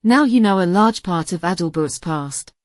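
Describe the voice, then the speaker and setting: A woman's voice: on the low side, expressive, moderate pace, no echo, clean studio sound, natural voice. A woman playing a composed, polite listener in an audio play. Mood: calm, polite.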